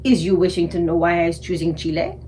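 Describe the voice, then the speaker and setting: A woman's voice: a little fast, slight background noise, close to an everyday voice. Woman voicing a blustering, greedy man-eating giant. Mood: gloating. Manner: booming, blustering, shouting. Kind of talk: children's storybook read-aloud.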